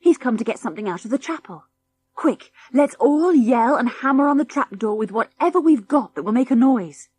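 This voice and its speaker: An eager British farm boy voiced by a woman in a children's storybook. He is excitable and explains things in an excited way. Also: on the high side, normal speed, voice pushed up.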